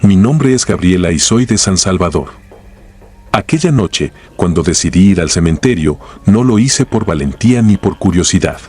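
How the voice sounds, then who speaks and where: A man narrating horror stories in a grave, measured, scripted way. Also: deep voice, animated, darkish tone, slightly rough.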